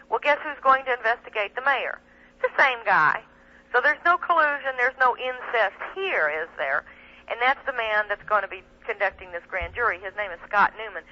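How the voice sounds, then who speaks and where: Indignant woman on a lo-fi radio call. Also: medium pitch. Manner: emphatic, indignant. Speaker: guest, attorney and activist. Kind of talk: impassioned talk radio interview. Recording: band-limited 1990s shortwave radio, telephone sound.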